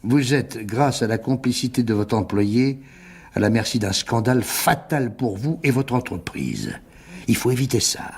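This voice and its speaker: A wily middle-aged businessman in a radio mystery play. His voice is husky and creaky, with swooping, theatrical intonation. He is unctuous and condescending, with a cowardly streak. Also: medium pitch, lively intonation, normal speed, darkish tone. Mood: unctuous, persuasive. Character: wily older businessman, cowardly then smugly blackmailing.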